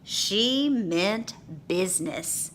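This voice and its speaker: Animated, very slow woman storyteller. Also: medium pitch, slight background noise. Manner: animated, dramatic phrasing. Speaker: storyteller reading aloud to children. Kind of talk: expressive picture-book read-aloud.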